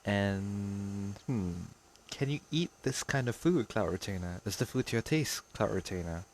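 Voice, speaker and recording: A woman's voice: rumbling low, animated, unhurried, darkish tone, slight background noise. A woman giving casual commentary on a game playthrough and reading lines aloud as a streamer. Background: game music.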